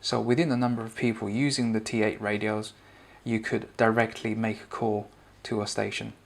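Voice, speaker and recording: A man's voice: fairly low pitch, level tone, normal speed, gravelly. A man giving a conversational, explanatory spoken product review and demonstration.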